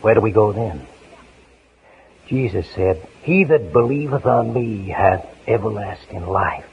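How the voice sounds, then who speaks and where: A man's voice: fairly low pitch, lively intonation, unhurried, gravelly, breathy edge, full character voice. An actor playing an earnest, fatherly ex-convict evangelist in a persuasive, plain-spoken 1950s radio style. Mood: earnest, urging. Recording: noisy, tinny 1950s radio broadcast.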